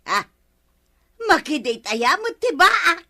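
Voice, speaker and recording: A woman acting a nagging, sharp-tongued village wife in an Ilocano radio comedy, with scolding, emphatic delivery. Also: extremely high pitch, sing-song, slow, creaky, full character voice. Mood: bossy, gossipy.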